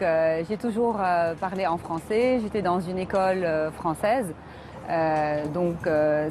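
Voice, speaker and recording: A middle-aged woman from Lebanon, a guest on a television talk show, answering earnestly and pausing often with hesitations. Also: medium pitch, darkish tone, a little hiss or hum. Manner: earnest, many hesitations with euh. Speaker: environmental activist guest.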